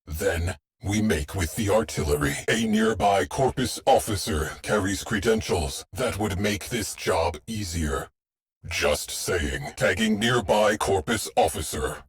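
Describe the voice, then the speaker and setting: A man voicing a terse, dry shipboard AI tactician in a video game, speaking in clipped, deadpan military phrases. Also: low-pitched. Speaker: mission control voice. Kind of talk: scripted game voice lines.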